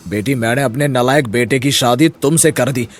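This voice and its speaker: A man's voice: mid-range voice, normal speed, natural voice. Man voicing a grave older village patriarch. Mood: remorseful. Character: stern, remorseful older village landowner father.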